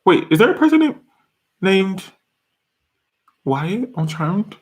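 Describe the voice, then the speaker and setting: A man's voice: sits high, sing-song, takes it slowly. A man in his twenties chatting casually on a live stream from his bedroom, reading comments, laughing often and restarting his sentences. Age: 20s. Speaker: YouTuber reading live chat. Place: small bedroom, indoors.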